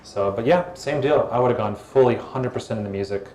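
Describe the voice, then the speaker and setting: A man answering audience questions at a startup event in a calm, relaxed, conversational way, on a mic in a hall. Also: mid-range voice, brisk. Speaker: guest speaker, former social media executive. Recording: echoey event hall with faint room noise.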